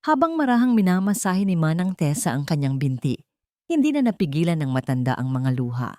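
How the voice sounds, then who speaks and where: A woman's voice: deep voice, sing-song, brisk, dark tone, no room sound. A woman with a Filipino accent reading scripted, dramatic story narration.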